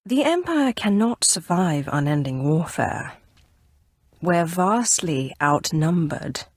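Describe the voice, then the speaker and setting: Casting: cool-headed female Sith sorceress in a game, imperious yet idealistic; lively intonation, dry close studio sound. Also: on the low side, no echo, full character voice. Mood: earnest, firm. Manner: poised, measured, imperious. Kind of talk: scripted video game dialogue acting.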